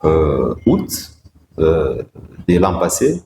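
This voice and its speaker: Deep-voiced man, echoey room. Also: very animated, vocal fry, darkish tone. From Democratic Republic of the Congo. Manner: measured, hesitant, frequent "euh" fillers. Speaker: guest, provincial road-safety director. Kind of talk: studio interview answers. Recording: echoey room, faint background noise.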